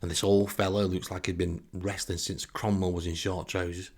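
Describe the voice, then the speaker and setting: A man's voice: low voice, flat delivery, moderate pace, darkish tone. A man with a Northern English accent telling a humorous first-person anecdote in a wry, self-deprecating way. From Northern England. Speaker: comic storyteller.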